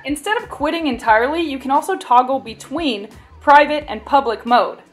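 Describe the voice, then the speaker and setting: A woman's voice: sits high, normal speed. A woman reading a scripted instructional narration for a product tutorial. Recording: music bed behind voice. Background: background music.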